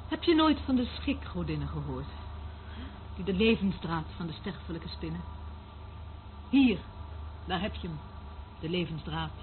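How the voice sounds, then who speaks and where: An actress playing a bitter, mocking surveillance worker in a radio drama, with an intense, sardonic manner. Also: female voice, on the low side, animated, moderate pace, pauses often, phone-quality sound, natural voice. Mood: bitter, controlled. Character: bitter, mocking female eavesdropper instructing a newcomer. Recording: thin band-limited old radio recording, hiss.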